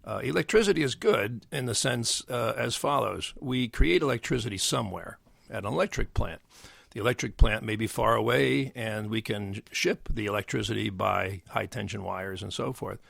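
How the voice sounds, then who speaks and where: Gravelly older man, halting explainer. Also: a bit bright, dry recording, clean studio sound. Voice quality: gravelly bass voice. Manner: halting, many fillers and restarts. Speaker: guest, nuclear engineer. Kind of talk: explanatory interview talk. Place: dry podcast studio. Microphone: studio mic.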